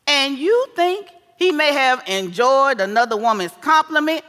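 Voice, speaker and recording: Actress as sassy older American mother, bright high voice, folksy scolding advice, theatrical delivery, echoing hall. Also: unhurried, heavily acted. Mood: scolding, exasperated. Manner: animated, folksy, preachy. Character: sassy, scolding, wise older mother advising her married daughter. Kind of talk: theatrical stage-play acting. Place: live stage in a theater. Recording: echoey theater hall with long reverb.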